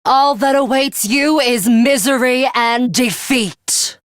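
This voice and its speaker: A woman voicing a cold, aloof young woman fighter in a video game, with a composed, formal, deadpan and disdainful manner and a bloodthirsty streak. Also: high voice, slow, no echo, clean studio sound. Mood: calm, determined. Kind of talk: English video game voice acting.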